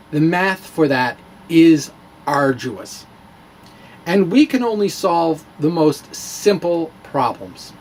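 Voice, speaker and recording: A man presenting a science vlog as a dramatic reading mixed with casual show-and-tell, with theatrical, emphatic phrasing and playful asides. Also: sits high. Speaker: science vlogger presenting his own blog.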